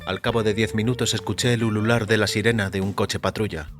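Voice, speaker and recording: A man reading a first-person short story as a narrator, in a measured, deliberate way. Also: on the low side, level tone. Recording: music under the voice. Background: background music.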